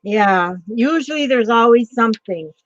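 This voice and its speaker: A woman's voice: fairly high pitch, lively intonation, measured pace, no room sound. A woman hosting a casual livestream chat, rambling along with frequent ums and greeting viewers by name. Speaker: livestream host. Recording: dull lo-fi sound.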